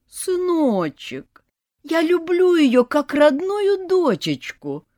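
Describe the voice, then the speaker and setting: A woman voicing a scheming mother who coos and fake-sobs in a high, shrill voice. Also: very animated, slow, dark tone, no echo, studio quality, full character voice. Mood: simpering.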